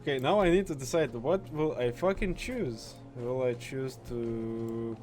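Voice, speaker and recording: A man doing casual gameplay commentary, halting and repeating words, with frequent swearing. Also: medium pitch, a little mellow, soft background music. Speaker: gaming video host.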